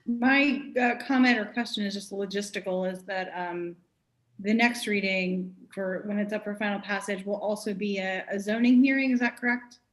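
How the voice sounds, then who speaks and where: A woman's voice: mid-range voice, normal speed, poor audio. A woman city councilor politely asking a practical question during a meeting.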